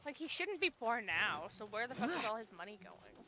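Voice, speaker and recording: A woman's voice: fairly high pitch, very animated, brisk, bright, forceful tone. A woman streamer casually commenting while playing, offhand and blunt, swearing now and then.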